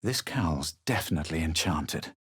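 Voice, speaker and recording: An actor playing a haughty, self-assured male adventurer in a fantasy video game, speaking measured, formal scripted lines with a superior manner. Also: very deep voice, lively intonation, fairly bright tone, husky, no echo, studio quality, full character voice. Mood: grave, measured. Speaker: video game player character.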